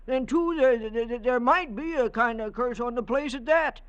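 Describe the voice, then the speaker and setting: An actor playing a folksy desert-town local in an old radio drama, telling legends in a halting, hesitant way. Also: male voice, extremely high pitch, a bit bright, highs cut off. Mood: ominous. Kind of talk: 1950s radio acting.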